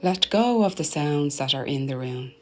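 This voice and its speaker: A woman narrating a guided meditation in a soothing, gentle, deliberate manner, letting her phrases end softly. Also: low-pitched, lively intonation. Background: meditation music.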